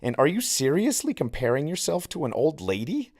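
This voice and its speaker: A man's voice: fairly high pitch, sing-song, brisk, a little mellow, voice pushed up. A man voicing a mocking, cocky older teenage boy in an audiobook, sarcastic and taunting.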